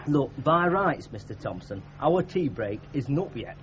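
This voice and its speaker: An actor playing a chirpy, excitable workmate, blunt and chatty, with a Northern English accent in a 1970s radio drama. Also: male voice, medium pitch, a little slow. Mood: exasperated, cheeky. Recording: dull 1970s radio broadcast, noisy background voices.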